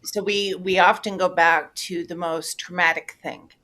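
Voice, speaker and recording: A woman's voice: lowish voice, fairly even intonation, unhurried, sharp tone. A woman hosting a casual conversation, frank and blunt in a supportive way. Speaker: host.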